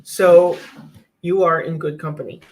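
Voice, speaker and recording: A woman's voice: sits low, fairly even intonation, unhurried, poor audio. A woman whose first language is French, chatting casually in a vlog and giving candid, practical advice with frequent restarts. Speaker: YouTuber teaching cloud infrastructure.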